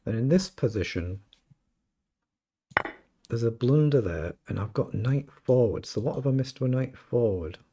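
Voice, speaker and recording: A British man with a slightly croaky voice, casually commentating his own chess game and thinking aloud. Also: medium pitch, expressive, a little slow, pauses often, background noise. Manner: thinking aloud, self-questioning. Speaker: chess streamer.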